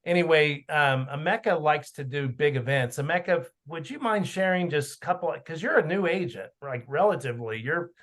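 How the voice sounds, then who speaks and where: A man's voice: a little high, lively intonation, fairly bright tone. A man leading a team video meeting, chatting in a rambling way, telling stories and calling on team members. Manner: chatty, rambling, quick to praise. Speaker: team leader hosting a meeting. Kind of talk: casual meeting chat. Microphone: video call.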